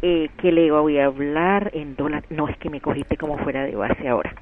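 A woman's voice: sits low, moderate pace. A woman with a Colombian accent, a shop employee answering a call politely and formally. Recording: muffled phone line with faint noise.

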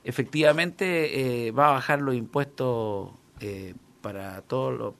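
A man with a gravelly, forceful voice teases his co-host during comic banter. He keeps a mock-serious tone. He also sets out opinions at length. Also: mid-range voice, a little slow. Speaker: comedy co-host.

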